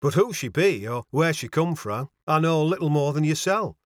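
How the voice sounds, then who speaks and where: Man as a kindly, fretful Yorkshire landlady for a storybook; exclaiming, deferential dialect, highly animated. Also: moderate pace, no echo, clean studio sound, lightly acted. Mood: chatty, wondering. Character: fussy, kindly Yorkshire landlady, easily alarmed.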